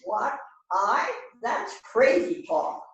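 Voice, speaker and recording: A woman's voice: on the high side, sing-song, slow, vocal fry, full character voice. A woman playing an indignant murder suspect, protesting in an amateur mystery play reading. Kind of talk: amateur readers theater rehearsal. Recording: distant mic in very echoey room.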